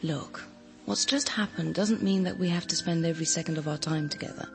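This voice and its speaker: An actress playing a cool, manipulative woman who insistently coaches her lover in a radio drama, with a husky, controlled voice. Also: on the low side, a little fast, faint music behind. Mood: impatient, pressing.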